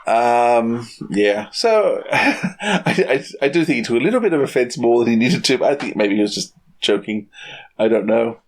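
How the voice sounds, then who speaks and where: A man with a medium-pitched voice. He chats casually as a podcast co-host, trading banter. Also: animated, normal speed.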